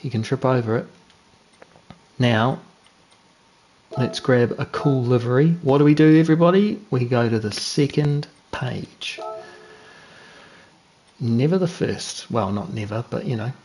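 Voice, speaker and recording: A man's voice: mid-range voice, expressive, measured pace, pauses often, darkish tone, slight background noise, low-quality recording. A young man with a New Zealand accent giving laid-back, chatty commentary while streaming a racing game, joking at his own mistakes. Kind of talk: casual gameplay commentary.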